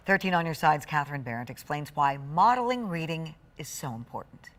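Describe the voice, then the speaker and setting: A woman's voice: sits low, animated, fairly quick, fairly bright tone. A woman anchor reading a scripted news introduction.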